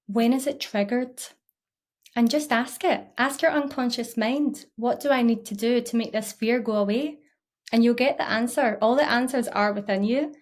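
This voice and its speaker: A woman in her thirties with a Scottish accent, talking to camera as a mindset coach in an earnest, encouraging way. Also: fairly high pitch. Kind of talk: casual talk to camera.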